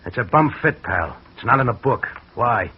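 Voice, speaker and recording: A man's voice: mid-range voice, lively intonation, moderate pace, slight background noise, close to an everyday voice. A man playing a suspicious, sarcastic escaped convict. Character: wary male escaped convict posing as farmhand. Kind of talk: 1940s radio acting. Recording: muffled old radio recording.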